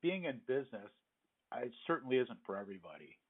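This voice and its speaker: A man's voice: medium pitch, sing-song, fast talker, slightly rough. A man conducting a conversational interview over the phone as the interviewer. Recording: muffled, narrow phone line.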